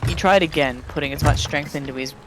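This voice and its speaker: A man's voice: on the high side, fast talker, background noise. A man doing a dramatic story reading over voice chat, dropping into casual, sweary asides with friends. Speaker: reader of a fan fiction story. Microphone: voice chat microphone.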